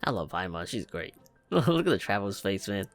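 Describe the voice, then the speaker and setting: A man's voice: deep voice, very animated, moderate pace. A man giving casual, joking, slangy reaction commentary over gameplay. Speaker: gaming video creator. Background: game music.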